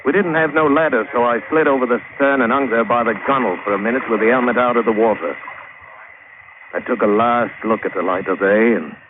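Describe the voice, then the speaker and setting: A man's voice: medium pitch, quick pace, background noise, close to an everyday voice. An actor playing a weathered sailor telling his sea tale in colloquial dialect, in old radio drama style. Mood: brooding, nostalgic. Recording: tinny old radio recording with music.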